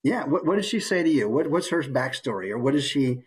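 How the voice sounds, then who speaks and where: Guy teaching an online workshop, husky voice that swings around a lot, really encouraging. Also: mid-range voice, lively intonation, brisk. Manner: encouraging, informal. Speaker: voice acting workshop presenter. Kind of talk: encouraging online class instruction. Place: online video call.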